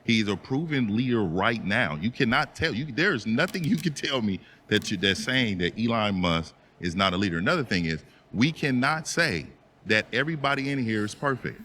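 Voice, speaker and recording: A man's voice: lowish voice, very animated, normal speed, bright, forceful tone, slight background noise. A man in a debate making an insistent, repetitive argument. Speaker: debate participant.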